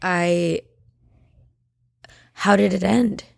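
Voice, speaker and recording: Woman, slightly low pitch, podcast co-host, conversational, expressive, reassuring, informal chat and story reading. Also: very slow, creaky.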